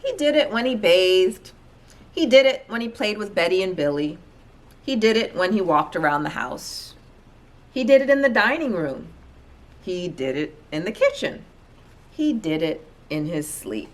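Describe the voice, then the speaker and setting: Low-pitched woman reading a storybook. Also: big pitch swings, a little slow. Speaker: storybook reader. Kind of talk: expressive children's read-aloud narration.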